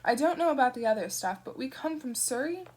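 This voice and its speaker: Lady doing a nervous young guy, stammery, kinda high and flustered. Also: expressive, a little fast, darkish tone. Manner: tentative, stammering. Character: timid, awkward young man, nervous.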